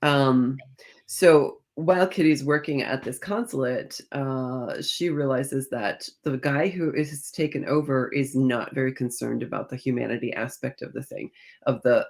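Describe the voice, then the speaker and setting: A woman speaking as a guest author in a conversational interview, thinking aloud as she tells stories in an animated manner. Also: rumbling low, normal speed, creaky. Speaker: guest author discussing own novels.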